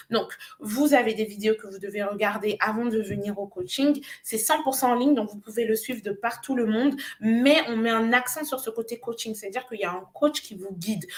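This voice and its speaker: A woman from Nigeria, a business coach, giving an earnest, persuasive pitch with frequent hesitations. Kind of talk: promotional pitch on a live show.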